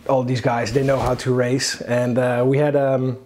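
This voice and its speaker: A man's voice: medium pitch, little pitch movement, moderate pace, darkish tone, a little hiss or hum. A Dutch man in his thirties, a guest telling stories in a casual interview, talking loosely with lots of fillers and restarts, seated in a living room. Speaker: guest, onboard reporter. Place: living room at a dining table.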